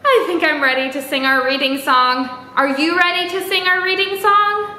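A woman's voice: very high voice, moderate pace, pushed and bright. A young woman hosting a children's storytime, chatting and reading aloud in an exaggerated, encouraging way with questions to the listener. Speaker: library storytime host. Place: small indoor room. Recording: echoey room with faint background noise.

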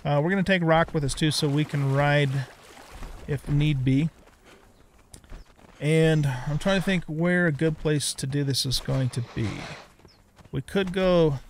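Higher-pitched middle-aged man, rambling game commentary. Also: a little slow, long pauses, a little hiss or hum. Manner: rambling, thinking aloud. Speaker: let's play host. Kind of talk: casual gameplay commentary.

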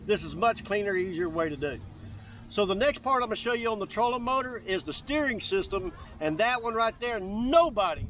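A man's voice: high-pitched, fairly bright tone, poor audio. A middle-aged man giving an enthusiastic, folksy, casual walk-through as a video host, speaking outdoors. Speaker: YouTube host demonstrating DIY kayak builds. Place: outdoors on open grass by water.

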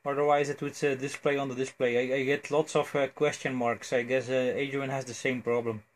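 A man with a Belgian accent chats casually as an amateur radio operator, phrasing things haltingly. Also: mid-range voice, level tone. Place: indoors at a cluttered electronics desk. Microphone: cell phone near the desk.

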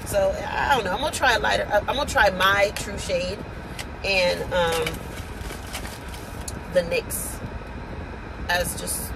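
A woman's voice: medium pitch, slow pace, creaky, pauses often, noisy background. A woman, chatting casually to her vlog viewers and calling them y'all. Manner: chatty, addresses viewers as y'all, many fillers. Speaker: vlogger. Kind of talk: casual vlog chat to camera. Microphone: phone.

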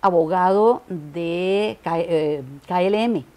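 An older woman from Mexico with a Mexican accent softened by Costa Rican usage, a guest in an interview, telling stories and acting out little dialogues with frequent restarts. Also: lowish voice, slow. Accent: Mexican Spanish softened by Costa Rican usage. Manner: animated storyteller, acts out dialogue, frequent restarts. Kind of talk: reminiscing conversation. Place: studio set styled as living room, indoors.

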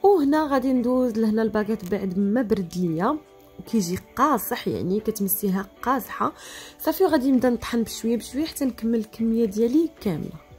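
Fast-talking Moroccan woman, mellow voice. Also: on the high side, animated, soft background music. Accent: Moroccan Arabic. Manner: chatty, practical, talks straight to viewers. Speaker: cooking channel host. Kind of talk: voice-over cooking tutorial.

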